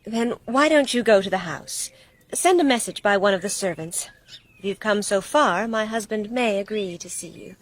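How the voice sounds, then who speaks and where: A woman's voice: mid-range voice, moderate pace, natural voice. A woman acting a genteel, anxious wife and mistress of a country house in a radio play, polite but commanding with servants. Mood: polite, composed.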